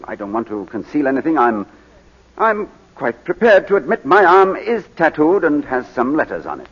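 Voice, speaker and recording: An actor playing a proud, defensive middle-aged English baronet in 1940s radio style, with clipped, dignified, guarded replies. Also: male voice, fairly high pitch, sing-song, normal speed, a bit bright, breathy edge, full character voice. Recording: muffled old 1940s radio broadcast.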